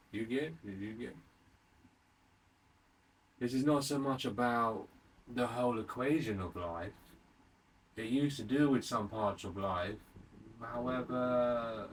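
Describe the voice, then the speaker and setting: A man in his late thirties hosting a solo podcast in a rambling, unscripted monologue, haltingly repeating and rephrasing himself and putting questions to the listener. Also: medium pitch. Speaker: podcast host. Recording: distant mic with faint background noise.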